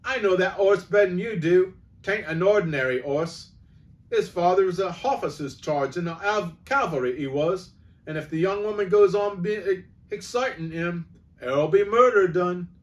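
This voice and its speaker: Cockney cabby, rough and pressing, high and loud from a male narrator. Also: normal speed, recorded from a distance, natural voice. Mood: urgent. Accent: London Cockney. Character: red-faced urgent Cockney cab driver.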